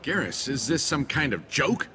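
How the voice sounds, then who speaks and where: A fugitive traitor in a game drama, weighed down by guilt. His voice is fairly low, defensive and haunted. Noisy ambience runs clearly behind him. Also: lively intonation, normal speed, a bit bright. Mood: wary, irritated. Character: guilt-ridden, evasive male traitor in hiding. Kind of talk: video game dialogue acting.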